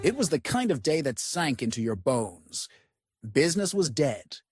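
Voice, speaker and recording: An actor playing a brooding narrator, reading scripted noir mystery narration over music. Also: male voice, a little high, sing-song, normal speed.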